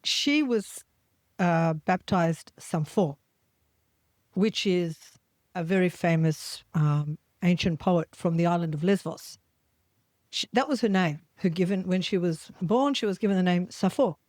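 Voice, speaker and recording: An Australian woman telling lively stories in a casual podcast chat. She laughs often and acts out the people in her stories. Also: lowish voice, unhurried, no room sound. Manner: animated anecdotes, laughs often, acts out quoted voices.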